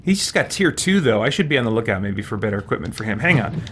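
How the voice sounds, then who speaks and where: A man's voice: mid-range voice, very animated, fast, background noise. A man giving casual let's-play commentary as a game streamer, thinking aloud as he goes. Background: game music.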